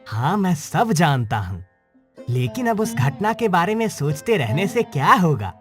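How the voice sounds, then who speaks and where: A man's voice: on the high side, sing-song, unhurried. A man playing an upbeat, encouraging woodcutter friend in a cartoon story, with a hearty manner. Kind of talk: Hindi cartoon story dialogue. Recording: music under the voice. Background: music.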